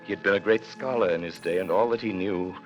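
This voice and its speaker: A man's voice: low-pitched, fast, soft background music, natural voice. An actor playing an anguished prisoner who recounts his years in a dungeon, grave and intense, in classic radio drama style. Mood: intense, solemn. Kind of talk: classic radio drama acting, dramatic first-person narration. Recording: noisy old radio recording.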